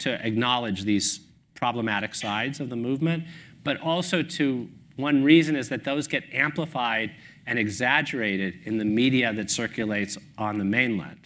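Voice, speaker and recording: A man's voice: on the low side, fairly bright tone. A man in his fifties, a guest on a panel at a public talk, speaking thoughtfully and often restarting as he forms his points. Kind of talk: panel discussion. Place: stage at a public talk, indoors.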